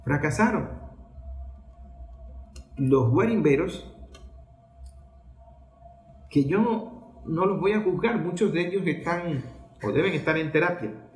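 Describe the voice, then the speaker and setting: A man with a Venezuelan accent giving political commentary as a speech, posing rhetorical questions and answering them. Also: fairly high pitch. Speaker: journalist giving a political talk. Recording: echoey room.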